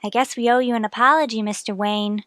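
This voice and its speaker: A woman's voice: fairly high pitch, steady tone, fast, fairly bright tone, dry recording, clean studio sound, natural voice. A woman acting in a radio murder mystery, playing an earnest, emotional character with dramatic delivery. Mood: astonished.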